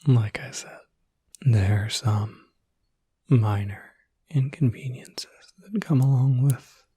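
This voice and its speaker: A tender young werewolf voiced by a man whispers in a very breathy, airy voice. He talks slowly and casually, with soft hesitations, reassuring the listener like a caretaker. The ASMR roleplay is intimate and dry, with no room sound. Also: mid-range voice, no echo. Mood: easygoing, fond. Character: gentle, caring young male werewolf looking after someone.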